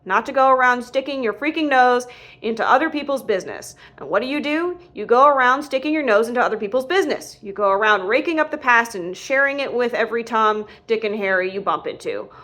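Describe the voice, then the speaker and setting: A woman voicing an angry, exasperated father scolding his son in an audiobook, in a forceful manner. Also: a little high, lively intonation, fairly quick. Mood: angry exasperation. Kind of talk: audiobook character dialogue.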